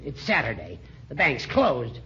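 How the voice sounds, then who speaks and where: Crotchety elderly American judge, creaky, piercing, accusing, 1940s radio comedy, dull noisy broadcast sound. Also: male voice, medium pitch, huge pitch swings, a little slow, sharp tone, full character voice. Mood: wheedling. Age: older. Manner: irascible, accusing. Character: crotchety, indignant older male judge.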